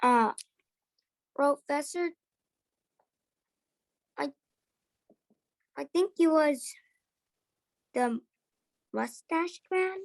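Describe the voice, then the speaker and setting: A woman's voice: sits high, lively intonation, extremely slow, vocal fry, pauses often. A female student in a reading club giving short, hesitant answers.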